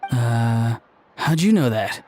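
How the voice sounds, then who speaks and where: A man playing a laid-back, sincere young man in a video game, casual and deadpan, seeming a little clueless. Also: medium pitch, slow, soft background music. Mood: sheepish, sincere.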